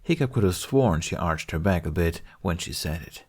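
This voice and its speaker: A man's voice: low-pitched, animated, normal speed, darkish tone, husky. A man reading scripted fiction as an audiobook narrator.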